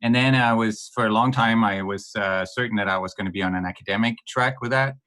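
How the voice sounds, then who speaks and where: Low-voiced Danish man, halting speech. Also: bright, forceful tone. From Denmark. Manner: hesitant, many ums and restarts, laughs lightly. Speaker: guest. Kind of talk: podcast interview.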